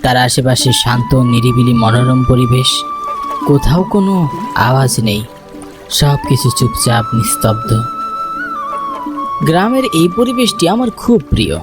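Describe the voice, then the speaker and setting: A man playing a homesick, worried village son, narrating a dramatized first-person story in an expressive, reflective way. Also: medium pitch, measured pace, long pauses, over loud music. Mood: calm, wistful. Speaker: narrator and main character.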